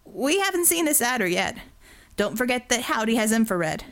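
A woman's voice: on the high side, very animated, normal speed. A woman voicing an eager, anxious male team gunner who talks informally to his boss in an audiobook.

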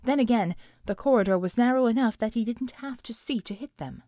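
High-voiced woman narrating fiction, very dry. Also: brisk, warm tone, no echo. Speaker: audiobook narrator. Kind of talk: scripted dramatic fiction narration.

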